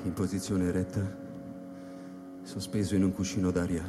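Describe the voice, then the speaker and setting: A male actor playing a solitary astronaut who recounts his space voyage in a measured, solemn dramatic narration. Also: low voice, little pitch movement, lightly acted. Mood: grave, tense. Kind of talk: literary sci-fi dramatic narration. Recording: long hall reverb over live electronic music. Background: electronic music.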